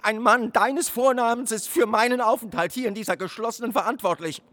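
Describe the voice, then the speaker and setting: An actor playing an indignant, obsessive psychiatric patient in a radio play, stammering and repeating himself in an aggrieved way. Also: male voice, extremely high pitch, fairly quick, fairly bright tone. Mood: aggrieved disbelief. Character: indignant, obsessive psychiatric patient ranting about his therapist.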